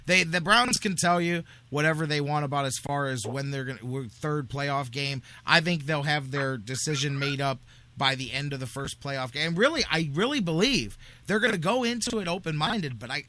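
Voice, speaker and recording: Male sports talk host. Higher-than-average pitch, very forceful tone, argumentative and emphatic. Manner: emphatic, argumentative, repeats phrases for stress. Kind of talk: sports talk radio debate.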